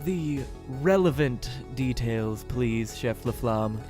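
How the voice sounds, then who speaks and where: A man's voice: medium pitch, expressive, slow, darkish tone, soft background music. A man playing a cool, exacting prosecutor in an audio drama, speaking formally and with composure. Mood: cool, insistent.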